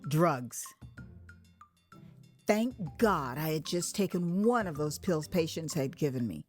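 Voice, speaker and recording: Animated American woman storyteller. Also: sits low, takes it slowly. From United States. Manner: conversational, expressive, acts out moments. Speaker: author narrating own audio memoir. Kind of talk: dramatized first-person storytelling. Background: music.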